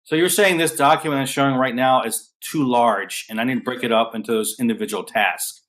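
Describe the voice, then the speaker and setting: A man hosting a casual panel on a video call, talking in a flat, even way with many fillers and restarts. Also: medium pitch, a little fast. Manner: self-described monotone, filler-heavy, restarts. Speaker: host.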